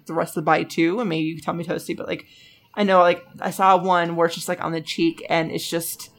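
A woman's voice: lowish voice, level tone, brisk. A woman co-hosting a casual gaming podcast, enthusiastic and full of "like" fillers. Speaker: podcast co-host.

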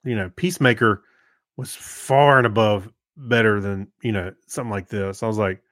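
A man co-hosting a podcast, giving casual opinions in a halting, meandering way. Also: lowish voice, fairly even intonation, fairly bright tone, dry recording, studio quality. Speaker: podcast co-host. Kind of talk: casual chat, informal film review.

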